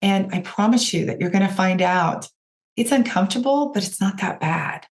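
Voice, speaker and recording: A woman with a raspy voice, hoarse from a cold, hosting a podcast and giving direct, encouraging coaching talk to her listeners. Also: mid-range voice, expressive, moderate pace. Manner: encouraging, direct, addresses listeners as you guys. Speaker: podcast host and business coach.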